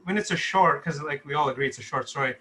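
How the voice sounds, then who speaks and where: A man's voice: fairly high pitch, fast, bright, forceful tone, a little hiss or hum. A man talking casually in a group discussion as a filmmaker, explaining his own work. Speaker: filmmaker discussing his short script at a table read.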